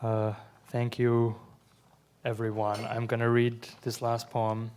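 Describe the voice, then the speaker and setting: Slow, measured male poet reading aloud. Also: mid-range voice, monotone, a little hiss or hum. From Iran. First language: Persian. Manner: slow, measured reading with quiet asides between poems. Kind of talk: live poetry reading.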